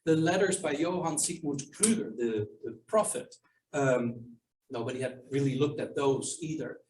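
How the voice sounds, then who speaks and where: A man's voice: mid-range voice, normal speed, a little mellow. Man speaking from far off in an echoey room. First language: not English. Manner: thoughtful, hesitant with many ums and restarts. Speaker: author and archivist. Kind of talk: answering audience questions at a book launch. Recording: echoey room, mic from far off, faint noise.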